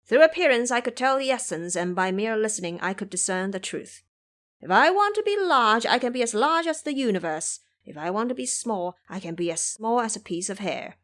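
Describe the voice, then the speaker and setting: A woman's voice: a little high, animated, brisk, a bit bright. A woman voicing a brash, boastful male monkey king with a commanding, exuberant manner in an audio drama. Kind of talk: audio drama character acting.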